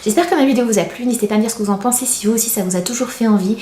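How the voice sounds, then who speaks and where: A woman's voice: fairly high pitch, very fast, dark tone, slight background noise. A woman in her thirties giving a casual, chatty product review as a beauty vlogger, confiding and self-deprecating. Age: 30s. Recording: roomy, echoey indoor sound.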